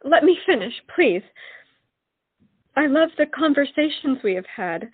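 Woman playing earnest high-voiced young lady Roxane, muffled. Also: expressive, a little slow, dry recording. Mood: hesitant, tender. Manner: pleading, earnest. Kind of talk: romantic audio drama acting. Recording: muffled lo-fi audio, music underneath.

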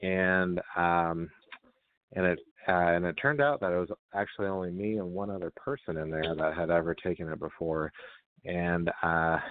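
Young male call-in host, very low voice, halting with lots of fillers, tinny phone-line audio. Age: young adult. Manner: halting, many fillers and restarts. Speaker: radio show host. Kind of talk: casual call-in show chat. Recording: phone-like narrow sound.

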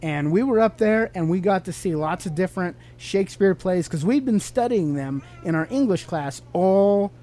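A man's voice: sits high, animated, normal speed, hardly any pauses, dark tone. A man with an American accent co-hosting a podcast in a casual, teacherly chat, asking questions and explaining things simply to a child. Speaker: podcast co-host.

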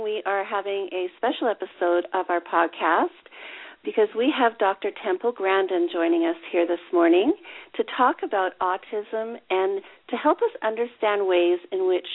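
A woman hosting a podcast interview from her office, talking in a chatty, upbeat way with frequent um and uh fillers. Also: medium pitch. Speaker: podcast host. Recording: tinny phone line.

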